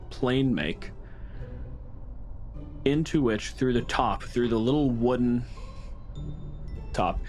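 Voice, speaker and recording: Low-voiced male game master over music. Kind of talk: improvised scene narration for a tabletop game. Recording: music behind the voice. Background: background music.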